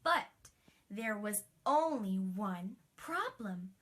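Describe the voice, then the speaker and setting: A woman telling a bedtime story to young children as a princess, expressive, with breathy emphasis and chatty asides. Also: mid-range voice, drawn-out words.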